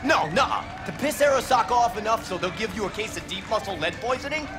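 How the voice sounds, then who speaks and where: Furious high-voiced rock bandmate. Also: male voice, expressive, fast. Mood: fed-up anger. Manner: shouting, sarcastic, confrontational. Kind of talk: video game voice acting. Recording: loud music and crowd behind.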